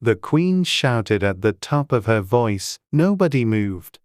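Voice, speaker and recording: A man's voice: fairly low pitch, sing-song, slow pace, dry recording, studio quality. A man narrating a classic storybook as a scripted audiobook.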